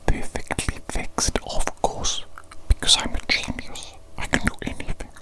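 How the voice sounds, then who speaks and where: A woman whispering in character as a smug, self-praising evil doctor, softly repeating her phrases in a slow, teasing way. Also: natural voice. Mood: smug clinical. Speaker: ASMR roleplay creator. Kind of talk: ASMR whispered roleplay.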